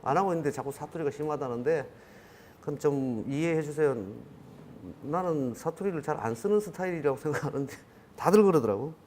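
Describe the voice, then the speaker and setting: A man's voice: a little high, fairly quick, darkish tone, a little hiss or hum. Rambling middle-aged male lecturer with dialect. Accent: Korean regional dialect. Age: 50s. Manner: rambling, self-correcting, many fillers. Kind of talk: classroom lecture. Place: classroom.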